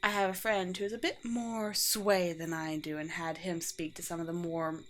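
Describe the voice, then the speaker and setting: A woman's voice: on the low side, flat delivery, normal speed, close to an everyday voice. Woman playing a courteous, teasing vampire queen, noisy background. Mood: composed, matter-of-fact. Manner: formal, old-fashioned, gently wry phrasing. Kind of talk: roleplay audio drama, in-character monologue. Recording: clear background noise with ambience.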